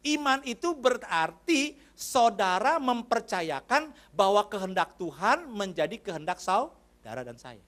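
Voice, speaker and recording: A man's voice: sits high, sing-song, measured pace, a bit bright. A man preaching a sermon in a church. He talks to the congregation in a conversational way, addresses them often and asks them questions. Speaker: pastor.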